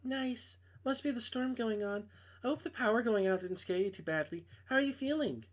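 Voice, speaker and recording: Guy playing a protective girl friend from a visual novel, higher pitch, steady, casual and reassuring. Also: normal speed. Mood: friendly.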